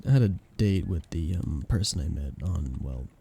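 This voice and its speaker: Man playing young male best friend, ASMR roleplay, very lively swooping intonation, husky, playful, teasing, affectionate, casual and close. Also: very deep voice, normal speed, full character voice. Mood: tired, venting. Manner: teasing, expressive, giggly. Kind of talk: ASMR roleplay, casual intimate chat with listener.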